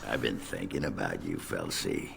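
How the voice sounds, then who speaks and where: An actor playing a crude, boastful dwarf warrior in a fantasy video game, with guttural, coarse, swaggering banter. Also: male voice, a bass voice, expressive, normal speed, very noisy, heavily acted. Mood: gruff teasing. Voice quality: gravelly, guttural.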